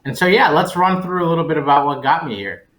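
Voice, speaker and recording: A man's voice: fairly high pitch, expressive, quick pace, a bit bright. A man speaking conversationally as a panelist. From Colombia-born, raised in West Virginia, United States. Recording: roomy, echoey sound.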